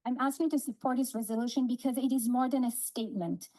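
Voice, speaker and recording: A woman with a fairly high voice delivers a prepared speech at a public meeting. Her phrasing is deliberate and earnest, that of a parent advocating for a cause. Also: steady tone, warm tone.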